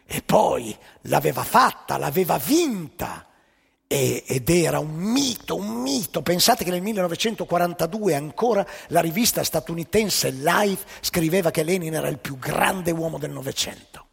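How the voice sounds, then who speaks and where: Gravelly, animated male storyteller. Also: a little high, normal speed. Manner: lively, dramatic, telling jokes. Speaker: stage storyteller. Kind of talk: theatrical storytelling with jokes. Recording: live stage show in a reverberant hall.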